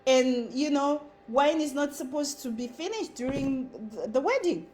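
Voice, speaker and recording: High-voiced Zimbabwean woman, emphatic. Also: expressive, measured pace, a little mellow, a little hiss or hum. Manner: earnest and emphatic, repeating phrases for stress. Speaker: content creator. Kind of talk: motivational talk to camera.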